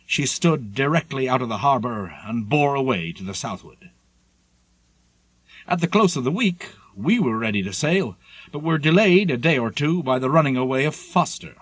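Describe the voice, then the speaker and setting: A man narrating a seafaring memoir as an audiobook, reading scripted prose in a measured, literary storytelling manner. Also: medium pitch, husky, dry recording.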